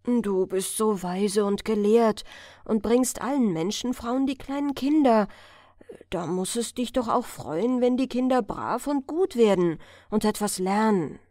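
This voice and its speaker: A woman voicing a gentle, friendly, aging fairy-tale woman, speaking politely and with warm-hearted pleading. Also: a little high, expressive, unhurried, dry recording, studio quality. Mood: wistful, pleading. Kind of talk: fairy-tale storybook reading.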